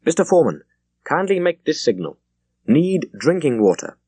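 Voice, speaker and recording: A man's voice: fairly high pitch, sing-song, moderate pace, dark tone, husky, no room sound, voice pushed up. A male narrator voices a young sea captain from a historical audiobook. He is formal, courteous and authoritative, with clipped commands that ring out firmly in a dry recording. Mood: weary, firm. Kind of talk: dramatized audiobook character dialogue.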